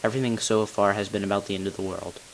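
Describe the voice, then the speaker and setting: Low-voiced, solemn bell ringer, played by a man. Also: level tone, fast, natural voice. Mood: solemn, explanatory. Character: tall, solemn male bell ringer revealing long-kept secrets. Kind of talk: scripted character narration, amateur game voice-over. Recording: hissy, noisy amateur home recording.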